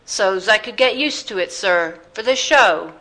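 A woman's voice: mid-range voice, expressive, a little slow, pushed and bright, low-quality recording. A woman voicing a meek, deferential young English circus boy in a storybook, with plain colloquial speech.

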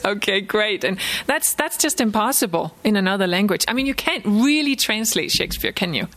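A woman author with a Danish accent, a native Danish speaker, talks informally to an audience. Her delivery is halting, with words doubled and restarted as she thinks aloud. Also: medium pitch, animated, fairly bright tone. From Denmark. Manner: halting, frequent self-repetition, chuckles often. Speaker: author giving a book talk. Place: live book event before an audience, recorded for a podcast.

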